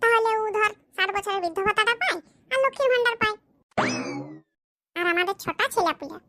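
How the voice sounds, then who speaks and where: A performer voicing a boastful, scheming village man in a cartoon, with a smug, slow, explaining manner. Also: very high voice, expressive, music in the background. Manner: smug, bragging, explains his schemes slowly. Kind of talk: cartoon comedy voice acting.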